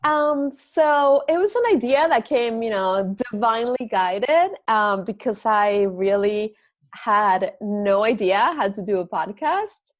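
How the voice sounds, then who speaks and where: A woman from Argentina, a yoga and meditation teacher, chatting as a guest on an interview podcast in a lively, spiritual way. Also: mid-range voice, slow, no room sound, studio quality. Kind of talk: casual interview talk.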